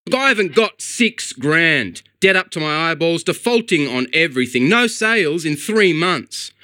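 A man's voice: a little high, animated, normal speed, sharp tone, no echo, clean studio sound. A man doing a frazzled, swearing estate agent. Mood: exasperated. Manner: agitated, swearing, exasperated. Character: frazzled, broke middle-aged male real estate agent.

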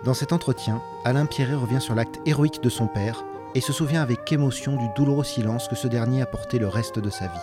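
A man's voice: mid-range voice, brisk, few pauses. A man reading a scripted podcast introduction as narrator. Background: guitar music.

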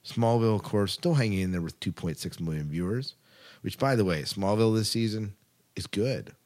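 Deep-voiced American man hosting a podcast. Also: fairly quick, darkish tone. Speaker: podcast host. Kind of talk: podcast news segment, partly read, partly casual chat.

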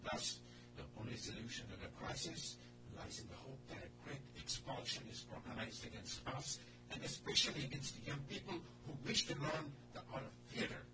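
A man reading out a written message in a declamatory, measured way. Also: a little high, expressive, noisy background. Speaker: guest reader. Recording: loud music behind, distant mic.

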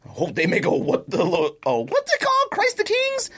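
Animated American man in casual podcast chat. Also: extremely high pitch, moderate pace. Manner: slangy, animated storytelling. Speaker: podcast co-host.

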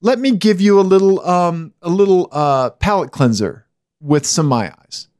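A man with a naturally high voice co-hosting a podcast. He laughs easily, and his pitch swings widely and climbs even higher when he gets excited. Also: normal speed, no room sound, studio quality. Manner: giggly, animated, voice jumps high when excited. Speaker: podcast co-host. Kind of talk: casual podcast chat.

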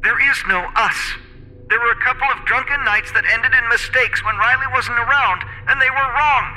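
Man acting as distressed young woman, firm and pleading, high-pitched, sharp bright tone, horror audiobook, long reverb. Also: normal speed, heavily acted. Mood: firm, upset. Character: terrified, pleading young woman, firmly resisting.

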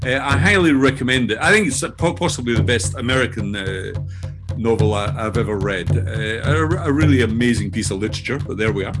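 An older Scottish man introducing himself as a guest in a loose, halting way, often laughing, over a remote call. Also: mid-range voice, over music. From Scotland. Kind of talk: casual self-introduction.

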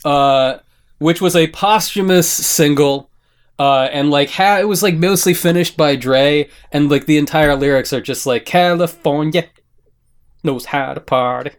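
A man hosting a casual, comedic panel chat, animated and joking, often restarting his sentences. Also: a little high, a little slow. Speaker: podcast host.

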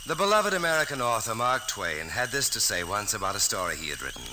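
A man's voice: on the low side, very animated, fast. A man hosting an old-time radio drama with a scripted, storytelling introduction. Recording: noisy vintage radio recording.

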